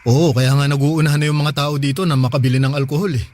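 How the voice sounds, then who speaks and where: A man's voice: fairly high pitch, steady tone, fast talker, a little mellow, slight background noise, lightly acted. A Filipino man playing an anxious, protective husband, fretting and urging in conversational radio drama dialogue. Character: anxious, protective provincial husband on a phone call. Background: faint music.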